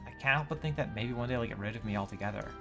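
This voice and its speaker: A man's voice: medium pitch, very fast, gravelly, over music, lightly acted. A man voicing a proud, worried female musical theater performer with formal phrasing, from game dialogue. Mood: proud, aggrieved. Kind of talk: reading game dialogue aloud.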